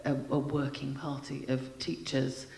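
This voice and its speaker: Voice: mid-range voice, completely flat delivery, a little slow, creaky, darkish tone. A British person in the audience asking an articulate, thoughtful question. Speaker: audience member. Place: large hall. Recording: echoey hall.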